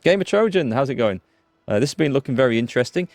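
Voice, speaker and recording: A man's voice: medium pitch, sing-song, fast, dry recording. A man streaming a strategy game live, chatting casually, thinking aloud and greeting viewers by name. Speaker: gaming streamer. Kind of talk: live let's play commentary.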